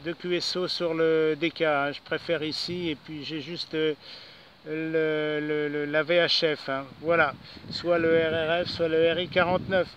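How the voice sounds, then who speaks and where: A man's voice: on the high side, flat delivery, measured pace, fairly bright tone, lo-fi sound. A man chatting casually as an amateur radio operator outdoors. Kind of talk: casual radio contact chat. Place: outdoors in a park. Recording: band-limited outdoor radio recording, faint noise.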